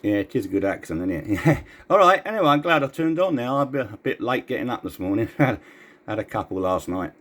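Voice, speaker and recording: A man's voice: mid-range voice, very animated, fast, a bit bright. A man having a casual radio chat as a CB operator.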